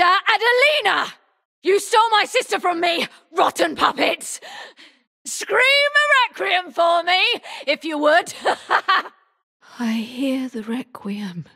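Casting: vengeful female game villain, masked duelist, high voice, slow theatrical menace, grieving her sister. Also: bright, forceful tone, heavily acted. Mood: frenzied rage, then mournful, eerie. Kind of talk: video game villain acting.

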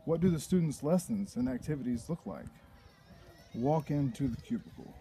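A man's voice: medium pitch, animated, moderate pace, dark tone. A man in his thirties reading his own poetry aloud. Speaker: poet reading own work. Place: outdoors in a backyard. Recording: faint outdoor background noise, birds.

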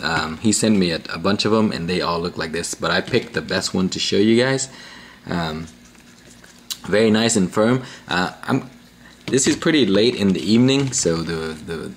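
Deep-voiced guy in his 30s rambling casually at his kitchen table, lots of uhs, faint room hum. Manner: easygoing, rambling with many fillers and restarts. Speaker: pepper reviewer, vlog host. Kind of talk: casual chatty vlog review. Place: small indoor room at a table.

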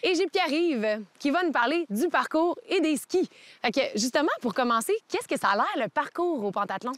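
A woman's voice: high-pitched, animated, moderate pace, dry recording. A young woman with a Québécois French accent hosting an upbeat interview outdoors in a snowy park, asking eager questions. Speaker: host.

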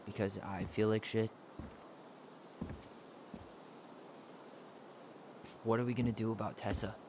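Guarded young man, lowish blunt voice acted. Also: level tone, fast, darkish tone, slight background noise, lightly acted. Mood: subdued. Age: young adult. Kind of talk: video game voice acting.

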